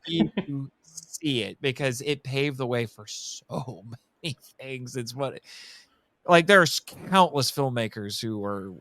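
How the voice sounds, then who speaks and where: A man's voice: medium pitch, expressive, slow pace, fairly bright tone, no room sound. A man in a casual film discussion, speaking enthusiastically and repeating phrases for emphasis like an expert guest. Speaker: podcast guest and monster movie expert.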